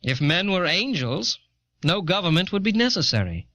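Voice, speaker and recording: A man playing an earnest eighteenth-century American statesman, reading historical writings with formal, deliberate oratory, recorded on an old cassette. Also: high voice, big pitch swings, bright, forceful tone, no echo, heavily acted. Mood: earnest, reasoned. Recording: dull, dry old audio cassette.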